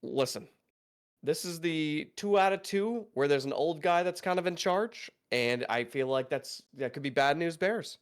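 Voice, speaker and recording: A man's voice: fairly high pitch, animated, a little slow, smooth. A man co-hosting a reaction stream over a voice call, joking, teasing and swearing casually. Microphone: headset or desk mic over a voice call.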